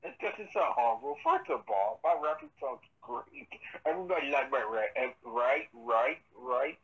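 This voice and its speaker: A person from Florida improvising repetitive, chanting raps and bickering in a halting way. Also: medium pitch. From Tampa, Florida. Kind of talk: improvised rapping and bickering in a home-made prank series. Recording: dull lo-fi audio with music, radio-like.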